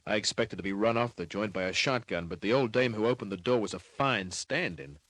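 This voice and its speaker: An actor playing a wry, hard-boiled private detective in an old-time radio drama, laconic, slangy and cynical. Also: male voice, lowish voice, animated, a little fast, a bit bright, slight background noise. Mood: wry narrating.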